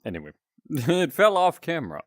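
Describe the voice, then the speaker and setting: A man with a deep, announcer-like voice, chatting casually with viewers while he plays a game. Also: normal speed, no room sound, studio quality. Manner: relaxed, rambling, chatting to viewers. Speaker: streamer.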